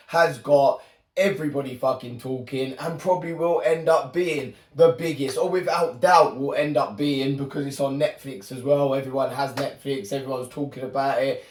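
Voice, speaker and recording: A man with a hoarse, flu-worn voice, talking bluntly and swearing freely in a casual boxing prediction monologue. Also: mid-range voice, distant mic. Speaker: boxing YouTuber. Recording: echoey room, off-mic.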